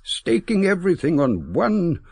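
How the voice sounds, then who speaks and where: An actor playing a sentimental, eloquent old English gentleman in a radio drama, reminiscing with wistful, theatrical, deliberate phrasing. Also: high voice, wildly swooping pitch, breathy edge, no echo, full character voice. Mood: mournful, eloquent. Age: older.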